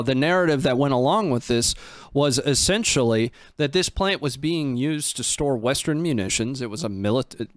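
A man's voice: mid-range voice, no room sound. Man with a close, dry studio sound. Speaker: live stream host and analyst. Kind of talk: casual live commentary on footage. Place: small indoor studio. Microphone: close desk microphone on boom arm.

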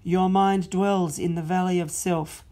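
A woman voicing God, a booming, commanding king. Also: creaky, dark tone, breathy edge, heavily acted. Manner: commanding, slow and emphatic. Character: booming divine father-king, stern and loving.

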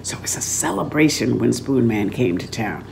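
An older woman reading a story aloud in an expressive, dramatic and deliberate storytelling manner. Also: very deep voice, creaky, slight background noise. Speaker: author reading aloud from own book. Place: room at home, indoors.

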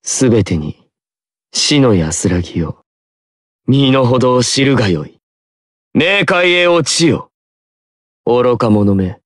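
Slow, haughty young underworld god. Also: male voice, medium pitch, very animated, no room sound, clean studio sound. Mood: cold, contemptuous. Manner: slow, grand, disdainful pronouncements. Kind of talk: anime battle game voice lines.

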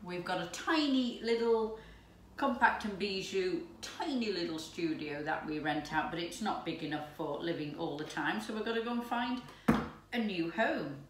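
A woman in her fifties chatting excitedly to camera while cooking in her home kitchen. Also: on the low side, moderate pace. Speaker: lifestyle vlogger. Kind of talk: casual chat to camera. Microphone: camera's built-in mic from a few steps away. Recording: echoey room, distant mic, background noise.

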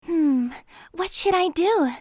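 A woman's voice: very high voice, expressive, takes it slowly, a little mellow, no echo, studio quality, full character voice. A woman voicing an admiring, dreamy teenage schoolgirl in a manga dub, girlish and gushing. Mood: excited. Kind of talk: manga fandub acting. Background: music.

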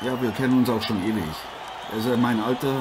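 A man's voice: medium pitch, steady tone, quick pace, close to an everyday voice. Man acting as boxing commentator over crowd noise. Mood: chummy. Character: genial male TV boxing co-commentator. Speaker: sports commentator. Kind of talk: improvised radio play fight commentary. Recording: noisy, arena crowd behind.

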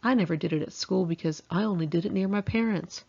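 Low-pitched, husky American woman reading. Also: fast talker, a little mellow, dull audio. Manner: candid, wry, self-mocking. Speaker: author reading own memoir. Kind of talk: scripted audiobook narration, conversational.

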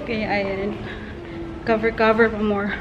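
A woman's voice: mid-range voice, big pitch swings, takes it slowly, highs cut off, noisy background. A young Filipino woman with a Filipino accent, chatting casually as a travel vlogger, filming with a handheld camera at arm's length. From Philippines. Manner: chatty, mixes Tagalog and English.

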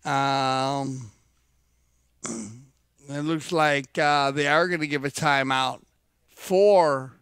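A man's voice: medium pitch, extremely slow, pauses often, fairly bright tone, clear, resonant tone, no room sound. A man giving chatty, folksy color commentary on a live polo match.

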